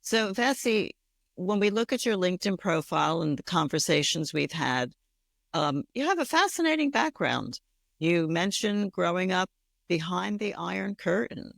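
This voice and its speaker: A woman's voice: lowish voice, lively intonation, moderate pace, vocal fry, fairly bright tone, dry recording, clean studio sound. A woman co-hosting an interview show, asking questions in a friendly, conversational way. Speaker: podcast co-host.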